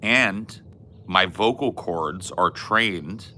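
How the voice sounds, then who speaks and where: Deep, bright-voiced man chatting slowly. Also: a little hiss or hum. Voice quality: loud, resonant voice. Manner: rambling, laid-back storytelling. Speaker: podcast co-host. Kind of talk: casual chat.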